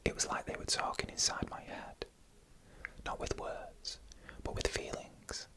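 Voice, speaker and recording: A narrator whispering scary stories in ASMR style, slowly and deliberately, with pauses between short phrases.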